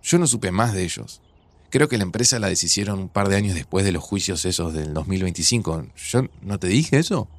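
An actor playing a grieving, resigned man in an audio drama, speaking Rioplatense Spanish in a subdued, hesitant, gravelly way. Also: deep voice, lively intonation, brisk, no room sound. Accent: Rioplatense Argentine. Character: grieving, resigned widower in a near-future Argentina. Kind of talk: naturalistic audio drama dialogue.

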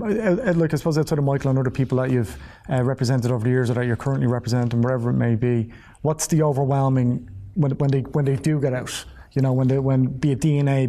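An Irish man interviewing conversationally as a podcast host, rephrasing his questions haltingly as he goes. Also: medium pitch, steady tone, warm tone.

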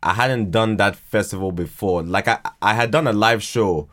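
A man's voice: lowish voice, normal speed, fairly bright tone. A man from Ghana chatting casually as a podcast guest, modest and hesitant, often repeating his words. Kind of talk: casual interview chat.

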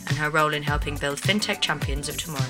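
A woman with a very low voice reads a speaker's introduction at a quick pace. Her delivery is smooth and scripted. Clear music plays under the voice-over. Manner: smooth, read-aloud delivery.

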